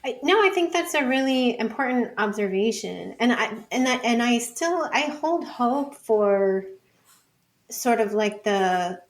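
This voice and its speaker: A woman's voice: on the high side, expressive, a little slow. A woman speaking as a podcast guest and researcher in casual intellectual conversation, thinking aloud with plenty of "like" and "you know".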